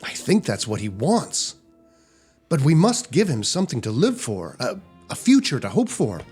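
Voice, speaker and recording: A man's voice: medium pitch, huge pitch swings, normal speed, darkish tone, faint music behind. A man playing a kindly, reassuring Victorian husband and father in a period audio drama. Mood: gentle, hopeful.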